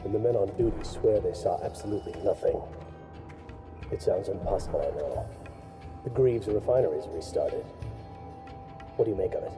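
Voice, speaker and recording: A man's voice: sits low, normal speed, pauses often, lightly acted. An actor playing an uneasy, questioning assassin henchman in a video game, speaking formally. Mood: worried, deferential. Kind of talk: scripted game dialogue. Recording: echoey, distant, music behind.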